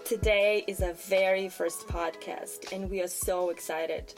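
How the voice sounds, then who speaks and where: A woman's voice: fairly low pitch, steady tone, measured pace, faint music behind. A woman from Ukraine, co-hosting a podcast in casual chat, speaking earnestly and repeating phrases for emphasis. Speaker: podcast co-host.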